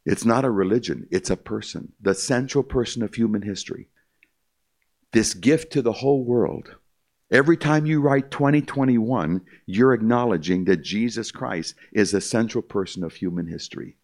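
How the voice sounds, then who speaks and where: An older man preaching his testimony with a deep, low voice. His delivery is emphatic and repetitive as he keeps calling the listeners "my friend." There's a gravelly crackle on his words. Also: moderate pace, a little mellow. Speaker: concert pianist giving testimony. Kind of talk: evangelistic testimony speech to a live audience.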